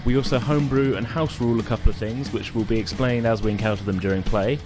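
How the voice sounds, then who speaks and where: A man's voice: medium pitch, steady tone, fast, music in the background. A man hosting a tabletop role-playing game as game master, narrating in a casual, loosely improvised way. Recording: noisy room ambience.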